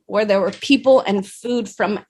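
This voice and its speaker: A woman's voice: mid-range voice, normal speed, darkish tone, breathy edge. Reflective woman sharing her story. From Dominican Republic. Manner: reflective, with frequent "like" fillers. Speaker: guest, fashion and food stylist. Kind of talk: candid personal interview.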